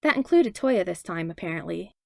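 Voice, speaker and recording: A woman's voice: on the low side, expressive, a little fast, vocal fry, no echo, studio quality. A woman reading a story aloud as scripted narration. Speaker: podfic reader.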